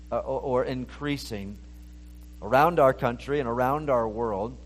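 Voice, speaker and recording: A man preaching a sermon, earnest and steady, his voice rising when he reads scripture aloud. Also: mid-range voice, rough recording. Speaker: pastor.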